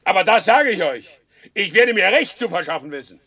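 A man's voice: high voice, very animated, brisk, bright, forceful tone, husky, heavily acted. An actor playing a proud, wronged horse dealer in a German radio drama, speaking formally and emphatically as he insists on his rights. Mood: defiant.

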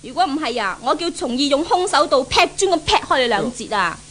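High-voiced spoiled, willful young Hong Kong woman. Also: lively intonation, a little fast, a bit bright. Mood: bossy, taunting. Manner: haughty, petulant, mocking. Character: spoiled, willful, thrill-seeking young woman, doted-on only daughter. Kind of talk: 1970s Cantonese radio drama acting.

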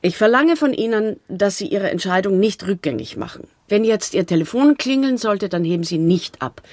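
A woman's voice: mid-range voice, lively intonation, normal speed, a little mellow, dry recording, lightly acted. An actress in a German radio drama playing a pushy, persistent woman who demands a lasting commitment. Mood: demanding.

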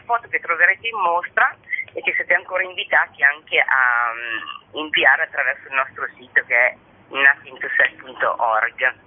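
A woman speaking as an artist presenting her work in an explanatory interview style, often checking in with short tag questions. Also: lowish voice. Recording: narrow tinny telephone-like line.

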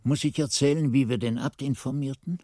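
An actor playing a reflective old monk narrating grim memories in a radio drama, with a husky, mellow voice and literary delivery. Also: male voice, medium pitch, fairly quick, dry recording, lightly acted. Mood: grave, reflective.

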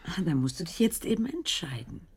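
Brisk companion, radio drama, breathy husky voice, lively intonation, persuasive, familiar. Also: female voice, fairly low pitch, heavily acted. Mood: urging. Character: brisk, encouraging companion of a hesitant doctor. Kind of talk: German radio drama acting.

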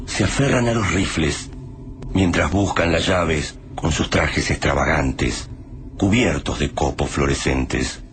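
A man giving grave, measured scripted narration for a radio drama. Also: a bass voice, a bit bright, a little hiss or hum.

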